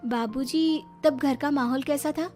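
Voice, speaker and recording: A woman's voice: high voice, a little slow, a little mellow. A woman voicing a caring, reasonable young daughter-in-law in a cartoon moral story, polite and explanatory. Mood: concerned. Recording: music behind the voice.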